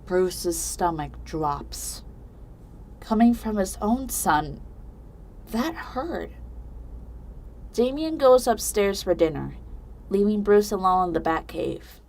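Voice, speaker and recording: A woman's voice: medium pitch, sing-song, slow, long pauses, darkish tone, slight background noise. A woman reading scripted narration for a dramatic audio story.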